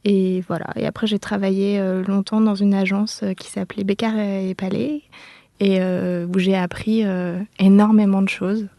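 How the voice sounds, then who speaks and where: A woman's voice: medium pitch, flat delivery, normal speed, gravelly, mellow, dry recording. A woman from France, an architect, earnestly recounting how she came to architecture in an interview. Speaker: architect, office co-founder.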